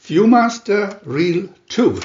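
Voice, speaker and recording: A man narrates a comic adventure in a theatrical announcer style. He speaks slowly and with pauses, his pitch swinging widely. The recording is roomy and somewhat dull. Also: big pitch swings. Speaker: narrator reading aloud a picture-reel booklet.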